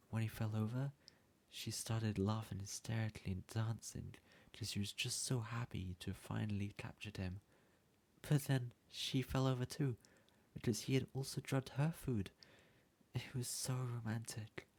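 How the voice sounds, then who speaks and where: A man's voice: lowish voice, gravelly, darkish tone, slightly rough, heavily acted. A man playing a bumbling, lovesick young yandere student in an ASMR roleplay, pleading hesitantly and stammering as he speaks to the listener. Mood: fond, dreamy.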